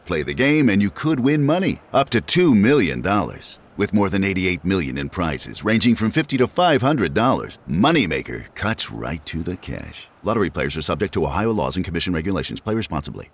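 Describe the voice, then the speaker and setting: A man with a low voice reads a scripted radio ad. His pitch moves around in lively swoops, with a playful sales delivery that is polished and announcer-like. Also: brisk, hardly any pauses. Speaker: advertisement announcer.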